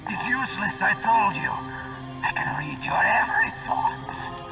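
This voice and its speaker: An actor playing a menacing, boastful psychic villain in a video game, theatrical and drawn-out, with a breathy, often whispered voice. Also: male voice, high voice, animated, full character voice. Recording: distant voice under loud music.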